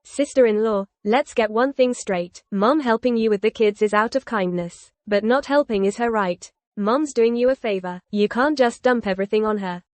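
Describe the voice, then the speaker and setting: A woman playing a sharp-tongued, judgmental sister-in-law in a dubbed melodramatic audio drama, lecturing the family. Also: fairly high pitch, moderate pace, hardly any pauses, dry recording, lightly acted. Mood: indignant. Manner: scolding, lecturing.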